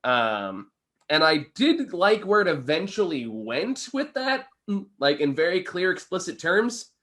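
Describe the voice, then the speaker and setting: A man's voice: sits high, very animated, unhurried, bright, forceful tone. A man co-hosting a casual film review chat, speaking informally and hedging as he trails into asides.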